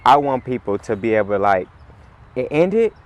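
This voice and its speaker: A man, chatting in a relaxed, rambling way as an interview guest, full of "like" and "you know". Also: medium pitch, moderate pace. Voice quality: raspy. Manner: laid-back, rambling, heavy on "like" and "you know". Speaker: musician guest. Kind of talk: casual interview chat.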